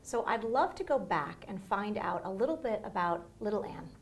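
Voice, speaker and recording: A woman's voice: mid-range voice, lively intonation, normal speed, slight background noise. A woman hosting a podcast interview, chatty and enthusiastic as she draws out her guest. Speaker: podcast host. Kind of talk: conversational interview. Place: local area in the Lehigh Valley, Pennsylvania.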